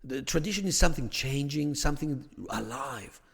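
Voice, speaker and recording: A man's voice: mid-range voice, lively intonation, normal speed, gravelly, husky, big-room sound. A man, raised in Greece, speaking as a podcast guest who explains religious history thoughtfully, with frequent restarts and "you know" fillers. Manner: thoughtful, many restarts and "you know" fillers, rising emphasis on key points. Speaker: podcast guest, theology academic. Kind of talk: conversational explanation.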